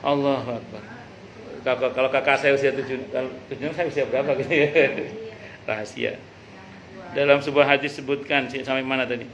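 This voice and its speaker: Bright-voiced man teaching religion. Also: medium pitch, steady tone. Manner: conversational, jokey, halting with repeated words. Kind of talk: informal religious study talk with anecdotes and read-out passages. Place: a private home hosting a study gathering. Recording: noisy live recording.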